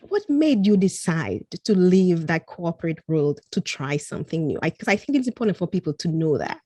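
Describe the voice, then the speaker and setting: Mellow-voiced woman podcast host. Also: on the low side, no echo. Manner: effusive and grateful, with frequent restarts. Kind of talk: podcast interview conversation.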